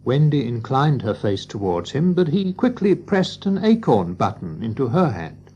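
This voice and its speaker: A man's voice: medium pitch, big pitch swings, normal speed, mellow, breathy edge, lo-fi sound, faint music behind. A man narrating a scripted children's storybook as part of an audio drama.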